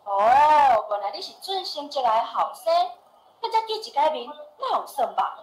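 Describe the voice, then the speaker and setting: Feisty young taiwanese girl in a radio play, high voice, super animated, sassy. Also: moderate pace, low-quality recording. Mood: puzzled. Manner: sassy, scornful, exclamatory. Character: feisty young woman rebuffing an unwanted suitor. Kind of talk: Taiwanese radio drama acting.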